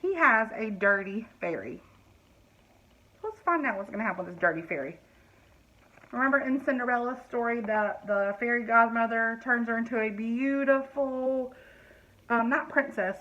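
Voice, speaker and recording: A woman reading a picture book aloud to children, pausing to explain the story and ask questions. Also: on the high side, bright, forceful tone, dull audio. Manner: explanatory, asks listeners questions.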